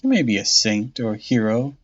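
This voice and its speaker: A man voicing a vengeful undead female saint who taunts her killer slowly and coldly. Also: mid-range voice, sing-song. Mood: commanding. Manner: slow, cold, mocking, theatrical. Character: vengeful undead woman saint, cold and mocking. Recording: dull lo-fi audio with faint music.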